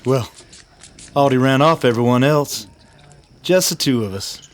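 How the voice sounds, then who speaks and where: An actor playing a sly, cocky gunslinging card sharp in a western radio drama, with a taunting, folksy drawl. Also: male voice, mid-range voice, measured pace, lightly acted. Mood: inviting, sly. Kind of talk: old-time western radio drama acting.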